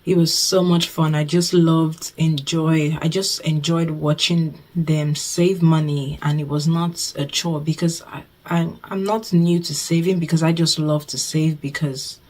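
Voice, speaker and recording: Low-voiced Nigerian woman chatting to camera. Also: fairly even intonation, a little slow, a little mellow. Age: 20s. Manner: chatty, repeats herself, thankful. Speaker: budgeting vlogger. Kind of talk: casual talk to camera.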